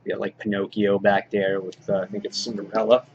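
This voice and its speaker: A man giving an informal, halting show-and-tell commentary as a hobby vlog host, in an understated way. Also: lowish voice, level tone. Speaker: vlog host showing a comic book collection. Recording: dull lo-fi audio, clear background noise.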